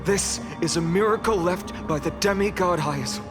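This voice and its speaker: An actor playing a grave, resolute young heroic lord in a video game, speaking in a measured, formal and earnest way. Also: male voice, high voice, lightly acted. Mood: resolute, reassuring. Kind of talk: English video game voice acting. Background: game music.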